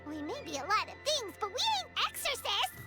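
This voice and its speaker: Casting: tiny excitable fairy sidekick for a game, childlike squeak, swooping and very high, blunt but cute. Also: female voice, brisk, heavily acted. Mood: doubtful. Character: tiny childlike floating fairy girl companion, excitable and earnest. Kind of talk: animated game voice acting. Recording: music behind the voice.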